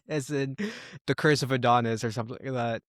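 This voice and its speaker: A man with an average-pitched voice speaks informally as a co-host in a book discussion. His delivery is conversational and unscripted. The recording is dry, without room echo. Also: fairly even intonation, moderate pace, a bit bright. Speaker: podcast co-host reviewing books. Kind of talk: casual discussion.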